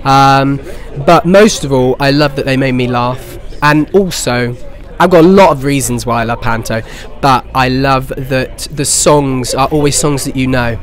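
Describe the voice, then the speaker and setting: Medium-pitched young English man. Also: measured pace, few pauses. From Bournemouth, England. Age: 20s. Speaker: pantomime actor. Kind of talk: casual interview answers.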